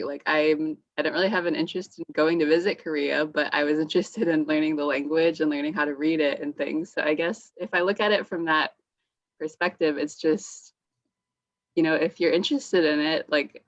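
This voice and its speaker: A young woman student speaks on an online panel with a very low voice, heard through muffled, lo-fi call audio. She talks hesitantly and casually, filling her sentences with "like". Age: young adult. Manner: hesitant, frequent "like", laughs softly. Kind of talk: casual panel talk over video call.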